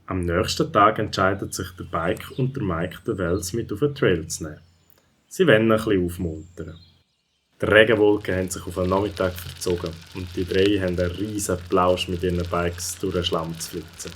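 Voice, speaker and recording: A man's voice: sits low, fairly even intonation, normal speed, fairly bright tone, slight background noise. A man with a Swiss German accent narrating a scripted children's audio story.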